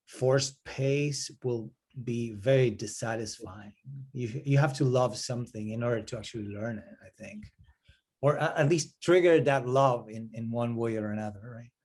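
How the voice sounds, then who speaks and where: A man speaking as a professor on a video-call panel, halting, with frequent tag questions. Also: mid-range voice, a little mellow. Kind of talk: virtual panel discussion.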